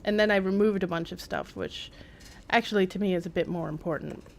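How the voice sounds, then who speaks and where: A woman's voice: fairly low pitch, a little fast, gravelly. A woman giving casual live-stream game commentary, rambling as she thinks aloud, with wry asides.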